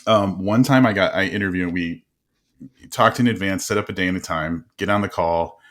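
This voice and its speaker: A man hosting an interview podcast, telling quick stories in a casual, animated way with frequent laughs and restarts. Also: low voice. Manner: animated storyteller, laughs often, many restarts. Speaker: podcast host.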